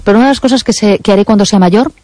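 A woman's voice: medium pitch, very fast, warm tone, no echo. Spanish woman hosting a close, dry radio phone-in. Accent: Spanish (Spain). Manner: chatty, frequent listening murmurs. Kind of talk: casual radio chat with a caller. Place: radio studio.

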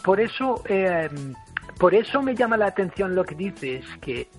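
A man's voice: high-pitched, big pitch swings, unhurried, over music. An Irish man whose first language is English, speaking hesitantly with many fillers, polite but critical. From Ireland.

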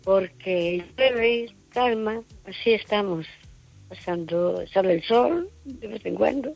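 A woman's voice: medium pitch, expressive, takes it slowly, gravelly, darkish tone, faint music behind. An older woman from Madrid calling in to a radio show, chatting casually in a rambling, repetitive way over the telephone. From Madrid, Spain. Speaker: radio phone-in caller. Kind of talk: casual chat. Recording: dull, muffled call-in line.

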